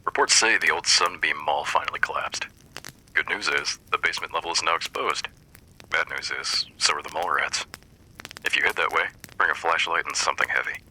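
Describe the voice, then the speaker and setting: Very deep, crisp wasteland radio DJ, acted by a man. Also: fairly quick, a little hiss or hum, heavily acted, poor audio. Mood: wry, upbeat. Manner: wry, deadpan humour, folksy. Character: wry post-apocalyptic wasteland radio DJ, man, easygoing survivor. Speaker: radio host. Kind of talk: scripted radio show monologue.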